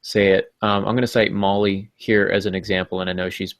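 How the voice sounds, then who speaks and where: Low-voiced man with creaky delivery, podcast host. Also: flat delivery, a little fast, no room sound. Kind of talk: casual podcast discussion and writing advice.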